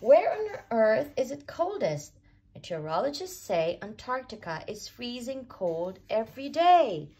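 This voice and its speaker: A woman reading a rhyming children's picture book aloud as a storyteller, with expressive, deliberate sing-song phrasing for young kids. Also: fairly high pitch, husky.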